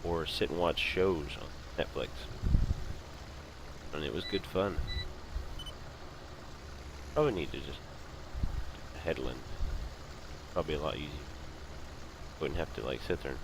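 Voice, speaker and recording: A man with an extremely low, deep voice chats in a loose, rambling way as he plays a farming game. His talk is easygoing and full of fillers. Steady noise hums behind him in the recording. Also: measured pace, long pauses. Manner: rambling, laid-back, lots of fillers. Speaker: gaming channel host. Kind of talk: casual commentary while playing a farming game. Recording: clear background noise.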